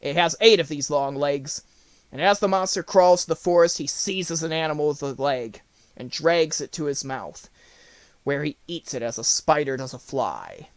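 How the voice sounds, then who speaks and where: Big storybook tiger, played by a male reader, formal and urgent, raised pitch, forceful declaiming, dry recording. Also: animated, moderate pace, no echo. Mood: alarmed. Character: big anxious tiger speaking formally to his king.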